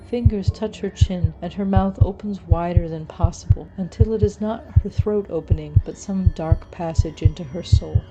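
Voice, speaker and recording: A woman reading a scripted horror story in a measured, dramatic storytelling manner. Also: fairly low pitch, muffled recording, very noisy. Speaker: story narrator.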